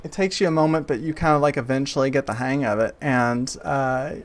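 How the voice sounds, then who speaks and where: A man running a tabletop game, improvising narration in a halting, conversational way. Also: mid-range voice. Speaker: game master. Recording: faint background noise.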